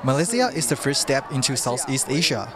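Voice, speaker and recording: A man's voice: medium pitch, very animated, brisk. Male speaker, emphatic and raised, crowd audible behind.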